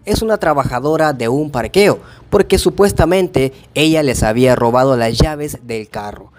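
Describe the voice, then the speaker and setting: A man's voice: on the high side, darkish tone. A man from El Salvador narrating a news video voice-over in a dramatic, colloquial way.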